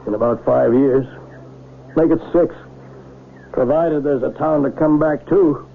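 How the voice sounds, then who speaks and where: Casting: wry small-town mayor, resigned, creaky mid voice, radio play on a noisy tinny broadcast. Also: male voice, a little high, a little slow. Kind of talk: old-time radio drama acting.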